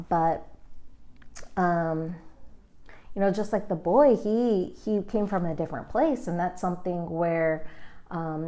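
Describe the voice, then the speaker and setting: A woman's voice: fairly low pitch, warm tone. A woman reading a children's picture book aloud and chatting about it as she goes, thinking aloud with frequent fillers. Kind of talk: read-aloud with casual commentary.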